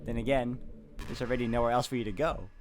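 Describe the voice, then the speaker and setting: A man's voice: medium pitch, fairly even intonation, fast talker, close to an everyday voice. Man reading as a clingy schoolgirl over prominent music. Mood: confiding, possessive. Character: sweet, clingy, obsessive schoolgirl literature club president. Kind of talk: visual novel dialogue read aloud. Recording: music playing behind the voice.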